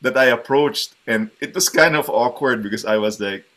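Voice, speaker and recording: Bright-voiced man from the Philippines. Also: medium pitch, normal speed. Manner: hesitant, many fillers and restarts, laughs often. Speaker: guest. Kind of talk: casual interview chat.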